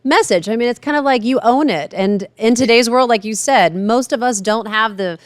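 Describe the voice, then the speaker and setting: A woman's voice: fairly high pitch, normal speed, no echo. A middle-aged woman interviewing a guest for a TV news segment, encouraging and full of compliments. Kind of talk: friendly interview questions.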